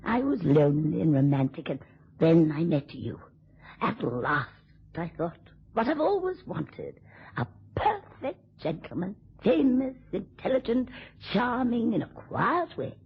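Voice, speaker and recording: A husky-voiced drunken wife in an old radio play, spiteful and taunting. Her pitch swoops wildly as she drawls sarcastic endearments, and the recording is dull and lo-fi. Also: very animated, measured pace, exaggerated voice. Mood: bitter, taunting. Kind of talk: radio drama acting.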